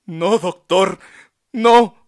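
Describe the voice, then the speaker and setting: A man doing a frantic, shrill asylum patient. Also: extremely slow. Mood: impassioned. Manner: shrill pleading outbursts. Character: frantic male asylum patient fighting shock treatment.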